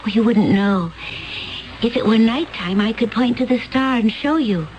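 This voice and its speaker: An actress playing a calm, mysterious woman from another planet, speaking in a solemn, measured way in a 1970s radio drama. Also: fairly high pitch, highs cut off, a little hiss or hum, lightly acted.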